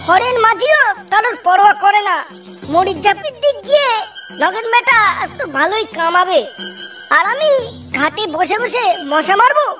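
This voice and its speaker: A woman's voice: very high-pitched, animated, moderate pace. A woman voicing a rustic village boatman in a Bengali cartoon, wary yet greedy and fond of drink, in an exaggerated manner. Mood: eager, greedy. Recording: distant voice under loud music.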